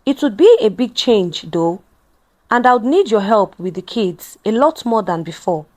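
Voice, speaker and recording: A woman voicing a gentle, conscientious wife and young mother in a narrated story, soft-hearted in manner. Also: medium pitch, lively intonation, normal speed, natural voice. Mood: uncertain.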